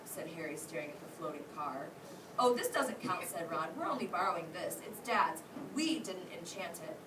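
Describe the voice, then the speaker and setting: A woman schoolteacher reading aloud to her class and prompting the children with questions. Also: medium pitch. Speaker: elementary school teacher. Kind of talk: interactive read-aloud to a class. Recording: roomy, distant mic, clearly noisy.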